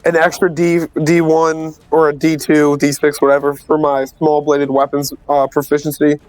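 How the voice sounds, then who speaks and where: A man's voice: fairly high pitch, flat delivery, normal speed, darkish tone, dry recording, soft background music, poor audio. A man playing a tabletop game, casually describing his moves and working out his rolls aloud. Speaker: player.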